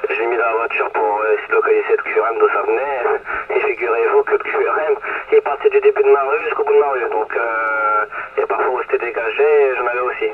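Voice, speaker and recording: A man's voice: medium pitch, fast talker, recorded from a distance, crowd noise. Over a radio transmission, a man chats casually as a CB operator, hesitant, rambling and correcting himself. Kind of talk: casual radio contact chat. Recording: muffled, very noisy CB radio reception.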